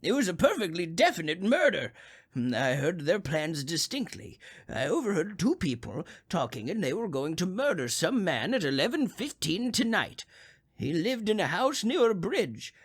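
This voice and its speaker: Someone playing a fretful, crotchety old man, swooping pitch. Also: big pitch swings, normal speed, breathy edge. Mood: agitated. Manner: fretful, stammering, insistent. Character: crotchety, nervous old male invalid on the telephone. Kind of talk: old-time radio suspense acting.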